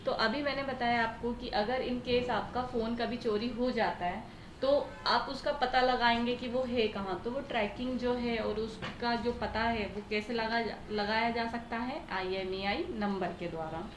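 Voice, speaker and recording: A woman's voice: on the high side, fairly even intonation, normal speed. An Indian woman teacher explaining a lesson step by step, often asking questions and answering them herself. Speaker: computer teacher. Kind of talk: explanatory lesson in Hindi mixed with English terms. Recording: echoey room with faint background noise.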